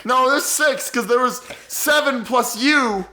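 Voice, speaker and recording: A man's voice: extremely high pitch, measured pace, bright, forceful tone, exaggerated voice. Man acting a young nephew, folksy, polite, insistent, confused, improvised radio comedy. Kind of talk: improvised comic radio play.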